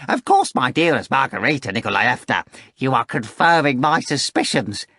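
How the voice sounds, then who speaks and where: A man voicing a fawning, fussy male retainer who talks in an excitable, whining, gushing way. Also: medium pitch, moderate pace, no room sound, clean studio sound, voice pushed up. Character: fawning, fussy male retinue member, wheedling.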